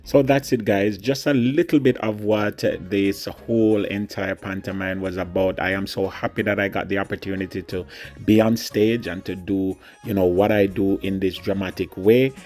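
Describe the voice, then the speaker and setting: Low-voiced man hosting a vlog. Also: fairly even intonation, normal speed, darkish tone, faint music behind. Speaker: vlog host and comic performer. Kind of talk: casual vlog sign-off.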